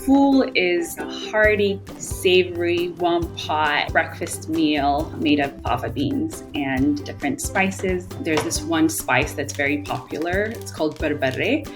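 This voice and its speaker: Home cook from Ethiopia, thirties, lowish voice, heartfelt recipe narration over clear music. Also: female voice, slow pace, hardly any pauses. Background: soft background music.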